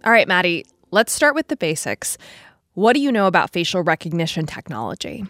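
A woman's voice: on the low side, big pitch swings, a little fast, a bit bright. An American woman hosting a science podcast in a conversational, explanatory way with emphatic phrasing. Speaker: podcast host and reporter.

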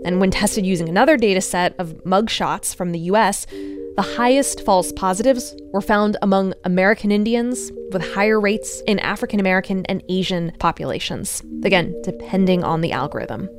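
A woman's voice: medium pitch, moderate pace, over music. A woman reporter explaining research in a conversational podcast style.

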